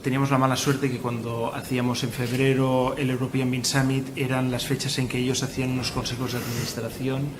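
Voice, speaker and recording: Male conference host, even delivery introducing speakers, noticeable background noise. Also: medium pitch, fast talker, few pauses.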